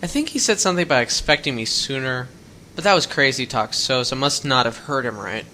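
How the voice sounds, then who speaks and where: Teen runaway boy, guarded but earnest, bright voice, faint hiss behind. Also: mid-range voice, expressive, fairly quick. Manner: plain-spoken, rambling storyteller with folksy words. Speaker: hitchhiking runaway. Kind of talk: radio drama acting.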